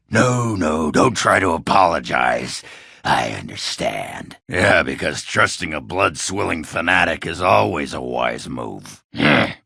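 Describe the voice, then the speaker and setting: A male actor playing a gruff, crude, hard-drinking dwarf warrior in a video game, cranky and boastful, with a growling, sardonic, blustering delivery. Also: very deep voice, bright, forceful tone, no room sound, clean studio sound, full character voice. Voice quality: gravelly, growling rasp. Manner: blustering, earthy swearing, sardonic. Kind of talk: video game character acting.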